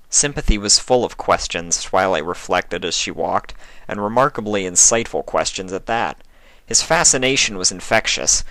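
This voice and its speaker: A man narrates scripted fiction in a fairly low voice. The recording is dry, with hardly any room around his reading. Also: sharp tone, dry recording. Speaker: fan fiction reader.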